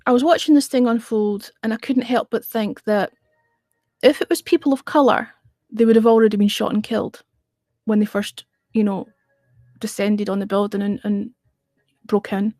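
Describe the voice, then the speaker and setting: A woman's voice: medium pitch, fairly even intonation, normal speed, warm tone, no room sound, clean studio sound. A middle-aged woman hosting a casual livestream, rambling and chatting in reply to viewer comments. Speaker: radio show host on a livestream.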